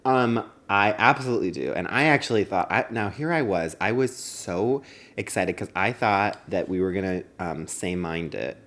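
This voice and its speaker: A man with a fairly low-pitched voice co-hosts a casual comedy podcast. He talks in a halting, rambling way, with plenty of filler words as he works toward his point. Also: lively intonation, a bit bright. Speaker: podcast co-host.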